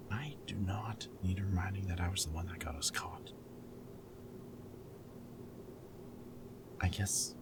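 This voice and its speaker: A man playing a goofy, flirty, protective rebel captive in a dystopian roleplay audio drama, with a wry, intimate way of talking. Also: sits low. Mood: urgent, angry. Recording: background music, loud ambient noise in places.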